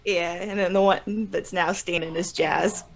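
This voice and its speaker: A woman's voice: fairly low pitch, fairly even intonation, measured pace, creaky, crowd noise, low-quality recording. A young woman co-hosting a casual podcast chat, blunt and teasing, often laughing. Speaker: podcast co-host.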